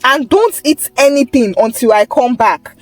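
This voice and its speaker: A woman's voice: high-pitched, normal speed, dry recording. A woman voicing a stern, exasperated auntie in a folktale, scolding her greedy niece. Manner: scolding, suspicious, interjections like "oh" and "sha".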